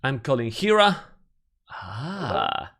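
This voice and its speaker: A man's voice: medium pitch, sing-song, extremely slow, a bit bright. Playful man interviewing over a call. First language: Spanish. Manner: playful, teasing, laughs often. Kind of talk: casual video-call interview. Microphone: video call.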